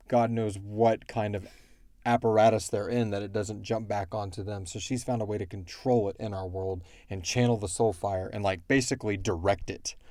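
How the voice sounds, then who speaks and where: Man, low relaxed voice, very dry close sound, casual rambling explainer of fictional lore. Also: dry recording. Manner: rambling, quotes characters in brief asides. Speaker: show creator answering listener questions.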